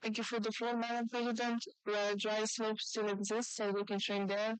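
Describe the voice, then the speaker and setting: A woman with a very clear, smooth voice speaks in a formal youth debate. Her pitch stays fairly even, and the sound is close and dry. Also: moderate pace, no echo, low-quality recording. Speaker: youth parliament participant.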